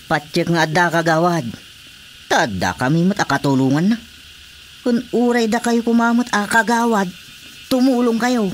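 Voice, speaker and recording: A man's voice: high-pitched, normal speed, slight background noise, lightly acted. A man acting a deferential, nosy village watchman in an Ilocano radio comedy drama, with an Ilocano Filipino accent. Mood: probing, surprised.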